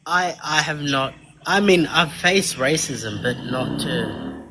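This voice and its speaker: Measured, hesitant man from Africa. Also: on the high side, lively intonation, noisy background. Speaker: debate guest. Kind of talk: live-stream debate.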